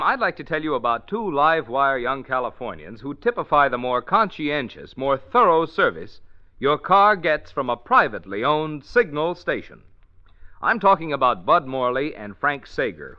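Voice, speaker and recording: Casting: American male vintage radio pitchman, fairly high voice, very lively delivery, polished and folksy. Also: normal speed. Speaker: radio announcer, sponsor pitchman. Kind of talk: 1940s radio ad read, scripted. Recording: dull lo-fi old radio recording.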